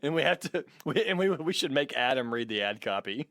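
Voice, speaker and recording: A man's voice: mid-range voice, sing-song, a little fast, a bit bright, no echo, studio quality. A man co-hosting a podcast in casual, joking conversation. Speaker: podcast co-host. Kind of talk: casual comedic podcast chat.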